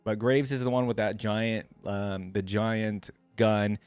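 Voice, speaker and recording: A man's voice: fairly low pitch, steady tone, takes it slowly, darkish tone, smooth, no echo. A young adult man, giving chatty, enthusiastic reaction commentary as a video host.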